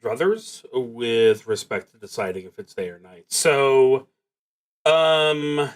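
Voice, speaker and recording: A man hosting a solo roleplaying stream, musing to himself in a halting, deliberate way as he plays. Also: mid-range voice, expressive. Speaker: host of a solo roleplaying livestream. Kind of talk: thinking aloud while playing a solo tabletop game.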